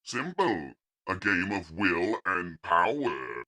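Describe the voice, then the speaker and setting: A man voicing a cold, lofty alien machine overlord in an audio comic, measured, pompous and commanding. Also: deep voice, big pitch swings, sharp tone, exaggerated voice. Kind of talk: audio comic dub villain acting.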